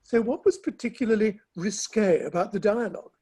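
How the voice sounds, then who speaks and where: A woman playing a curious, sensible Victorian confidante in a play reading, polite, measured and gently probing. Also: medium pitch, slightly rough, natural voice. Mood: curious, amused. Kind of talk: online dramatic play reading.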